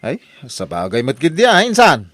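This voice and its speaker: A man's voice: on the high side, huge pitch swings, moderate pace, fairly bright tone, dry recording. An actor playing a male relative who gives cautious advice in an Ilocano comedy radio drama, expressively delivered. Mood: curious.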